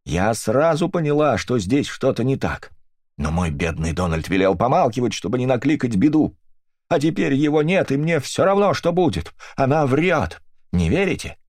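A man's voice: mid-range voice, big pitch swings, moderate pace, darkish tone, dry recording, studio quality, pitched above the performer's own voice. A man voicing a grief-stricken English widow who bitterly accuses another woman, in a storybook reading. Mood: accusing.

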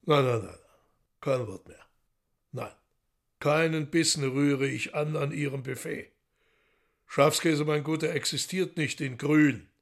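An urbane foreign magician in a radio drama, with a creaky, gravelly edge to his voice. He speaks with grand courtesy and lordly, theatrical indignation. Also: on the high side, a little slow, pauses often.

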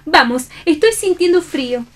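A woman's voice: very high-pitched, lively intonation, moderate pace. An actress playing a jealous, romantic woman in a theatrical radio play. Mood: wistful.

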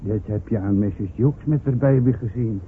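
An actor playing a timid, bumbling old villager who stammers and hesitates, in a radio drama. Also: male voice, on the low side, normal speed, natural voice. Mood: awkward, evasive. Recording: tinny, noisy old radio recording.

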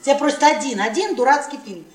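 A loud, bossy middle-aged wife in a radio play, needling and mocking. Her voice is fairly high and leans on words for emphasis. It sounds a little distant from the microphone, in a roomy, echoing stage space. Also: lively intonation, fast talker. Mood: scornful, insistent. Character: loud, domineering, mocking 52-year-old wife. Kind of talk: theatrical radio play acting. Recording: roomy, echoey stage, off-mic.

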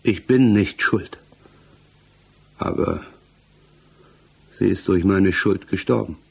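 An actor in a 1960s radio crime drama playing a bewildered, distraught husband under accusation, pleading haltingly. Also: male voice, low voice, vocal fry, darkish tone, heavily acted. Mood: broken. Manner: halting, pleading, repeats himself.

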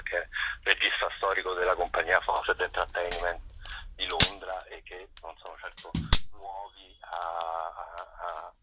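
A man with a very low voice that is bright and forceful. He is being interviewed and explains things off the cuff, haltingly, stretching words and repeating himself as he searches for the next one. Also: slight background noise, poor audio. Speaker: festival artistic director, interview guest.

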